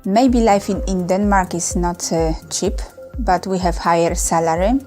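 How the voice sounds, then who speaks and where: A woman's voice: fairly low pitch, steady tone, a little slow, a little mellow, faint music behind. A woman speaking in a loose, unscripted way, with hesitations and non-native phrasing, about her working life in Denmark. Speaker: foreign worker in Denmark. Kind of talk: testimonial interview.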